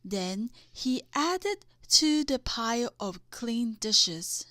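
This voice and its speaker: A woman reading a children's bedtime story aloud in a deliberate way, with dramatic emphasis. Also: on the high side, sing-song, bright, forceful tone, no room sound, clean studio sound. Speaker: storybook reader.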